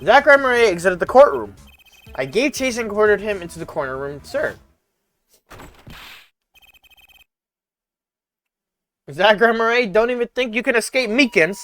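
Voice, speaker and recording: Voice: mid-range voice, sing-song, fast, fairly bright tone, soft background music. A streamer voicing a jumpy young male rookie police officer who nervously ends every line with "sir", while reading game dialogue aloud. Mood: blustering.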